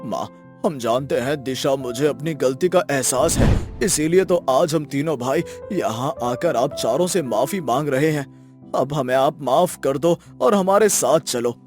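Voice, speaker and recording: A man's voice: mid-range voice, unhurried, faint music behind, natural voice. A man voicing a selfish adult son in a dramatic, declamatory manner for a moral story cartoon. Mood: remorseful, pleading. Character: selfish, callous adult son in a family tale.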